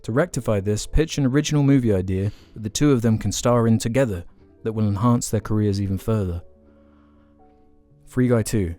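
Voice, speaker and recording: A man's voice: lowish voice, a little fast, dark tone, soft background music. A young man co-hosting a podcast, chatting casually and reading listener questions aloud. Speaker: podcast co-host.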